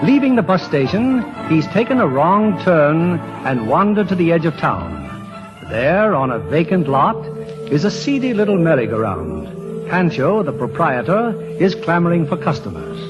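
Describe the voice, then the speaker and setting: A man playing a dramatic storyteller, narrating a scripted 1940s radio drama. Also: high-pitched. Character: brisk dramatic storyteller setting the scene. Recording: dull old radio recording, music behind.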